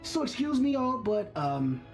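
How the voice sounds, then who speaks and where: Animated, high-voiced eager merchant. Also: female voice, measured pace, faint music behind, low-quality recording. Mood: coy, sly. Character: eager, scheming female merchant. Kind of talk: video game voice acting.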